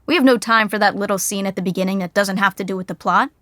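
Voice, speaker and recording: A woman's voice: medium pitch, quick pace, a bit bright, dry recording, studio quality. A woman reading scripted comedic narration for a podcast sitcom.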